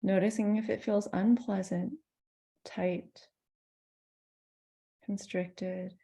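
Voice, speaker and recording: A woman teaching meditation, guiding listeners in a gentle, soothing manner with pauses between phrases. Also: mid-range voice, flat delivery, gravelly, slightly rough. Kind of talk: guided meditation.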